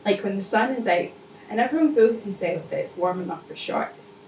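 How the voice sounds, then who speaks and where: Female poet, measured live reading with deliberate repetition, recorded from far off with background noise. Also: mid-range voice, animated, a little mellow. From Scotland. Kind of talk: spoken-word poetry reading. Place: indoor live poetry event. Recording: echoey, noisy room, mic distant.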